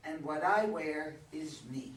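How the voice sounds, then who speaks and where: A woman's voice: deep voice, measured pace. A woman playing a haughty, disapproving woman in a staged play reading. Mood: indignant. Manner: pointed, disapproving. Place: echoey room at a live reading. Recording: echoey room, distant mic, faint noise.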